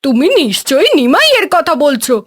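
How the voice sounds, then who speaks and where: A woman playing a sharp-tongued farmer's wife. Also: high voice, very animated, fairly quick. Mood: doubtful.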